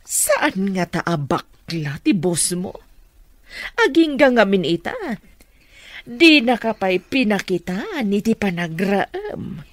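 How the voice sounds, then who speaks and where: Nosy, animated Ilocano mother. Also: medium pitch, slow, no room sound. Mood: skeptical. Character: nosy, frank middle-aged mother, teasing her daughter. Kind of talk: Ilocano radio drama acting.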